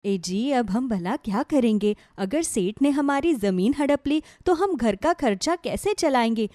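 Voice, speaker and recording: A woman plays a devoted village wife and mother from a cartoon tale. She sounds high and animated, with a worried, pleading manner. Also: measured pace, dry recording, clean studio sound.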